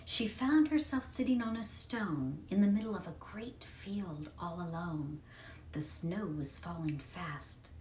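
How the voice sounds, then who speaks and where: A woman reading a scripted story aloud as narrator, with expressive storytelling. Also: lowish voice. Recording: echoey room, distant mic, faint noise.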